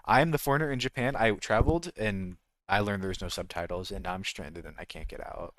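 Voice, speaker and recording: A man's voice: low-pitched, brisk, a bit bright. A man with an American accent chatting casually as a game streamer, rambling and jokey, often correcting himself mid-thought. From United States. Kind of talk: casual livestream chat.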